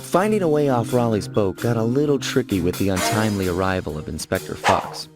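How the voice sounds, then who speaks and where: A man's voice: fairly low pitch, animated, fairly quick, natural voice. An actor playing a cocky, laid-back young male thief in a video game, trading quips and narrating. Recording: game audio with loud music behind.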